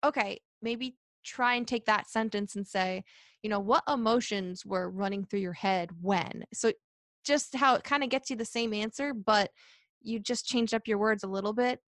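A young woman who works as a reporter, answering interview questions in a chatty, energetic way and laughing often. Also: normal speed, no echo, studio quality. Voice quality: high-pitched voice. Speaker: sports reporter.

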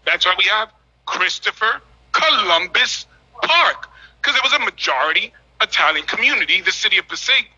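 Husky, bright-toned mayor addressing a council. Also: male voice, high-pitched. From United States. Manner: measured, formal, emphatic. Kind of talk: public meeting address. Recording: dull lo-fi meeting audio.

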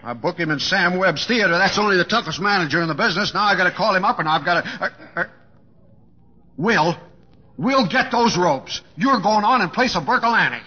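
Sharp, high-voiced, pushy booking agent. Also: male voice, animated, brisk, slightly rough, heavily acted. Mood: exasperated. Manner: urgent, pushy, insistent. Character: fast-talking, excitable, encouraging male show-business booking agent. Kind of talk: old-time radio drama acting. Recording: dull, echoey old radio recording.

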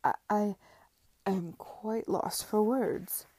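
Woman as young gentleman, whiny, insincere, formal, slightly creaky, period storybook. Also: medium pitch, slow pace. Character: petulant, insincere young gentleman nephew, scheming and cowardly.

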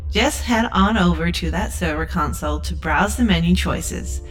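A woman as a relentlessly polite, low-pitched spaceship AI. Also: moderate pace, faint music behind, natural voice. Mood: bright, promotional. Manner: polished, scripted, saleswoman-like politeness. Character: relentlessly cheerful, customer-service-obsessed female spaceship AI. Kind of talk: sci-fi audio drama acting.

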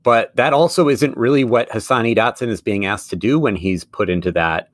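A man's voice: mid-range voice, a little fast, dry recording, studio quality. A man who is a middle school teacher, co-hosting a casual sports podcast and laughing often as he talks. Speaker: podcast co-host.

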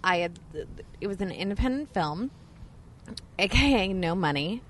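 A woman's voice: mid-range voice, expressive, moderate pace, a bit bright. Chatty, profane woman podcast host. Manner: chatty, rambling, many fillers, profane, laughs a lot. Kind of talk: casual podcast chat.

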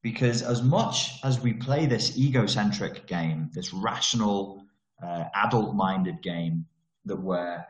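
A middle-aged man coaching in a casual monologue, blunt and full of slang and jokes. His voice sits on the low side. The room is echoey. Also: slow. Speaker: self-development coach.

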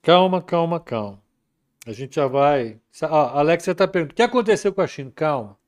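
A man hosting a casual live market commentary, relaxed and chatty, reading viewer comments aloud. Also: a little high, brisk. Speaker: market commentary livestream host.

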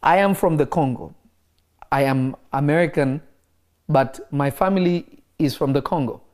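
A man who grew up in Kenya, preaching in a relaxed interview and driving his points home by repeating key phrases. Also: a little high, moderate pace. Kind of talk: conversational preaching.

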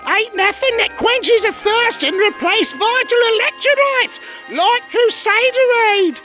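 A woman's voice: very high-pitched, pushed and bright, full character voice, poor audio. An actor playing a withered old crone, hawking her wares in folksy, theatrical old-time radio commercial patter. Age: older. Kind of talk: parody 1940s radio commercial acting.

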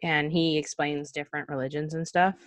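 A young woman with a notably low-pitched voice chats casually as a podcast co-host, laughing easily and filling pauses with ums. The sound is dry and close, with no room around it. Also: flat delivery, dry recording. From Cuba. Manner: chatty, laughs often, trails off with ums.

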